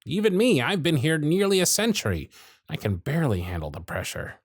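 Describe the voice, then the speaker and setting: A man voicing a jaded, century-old male workshop supervisor who is gruff, weary, sardonic and blunt, in an ASMR roleplay with close miking. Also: a little high, very animated, fairly quick, dry recording, exaggerated voice. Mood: bitter, exasperated. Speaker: voice actor in audio roleplay.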